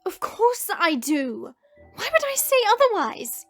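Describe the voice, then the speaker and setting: A woman playing a regal dragon queen in a scripted audio roleplay, earnest and resolute, with formal, deliberate phrasing. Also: very high-pitched, big pitch swings, fairly bright tone, full character voice. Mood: insistent. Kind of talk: scripted audio roleplay acting with first-person narration. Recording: music behind the voice. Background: background music.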